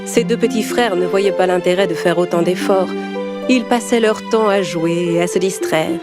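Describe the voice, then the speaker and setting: A woman's voice: fairly low pitch, moderate pace. A woman reading scripted narration for a children's story as storyteller. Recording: loud music behind. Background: music.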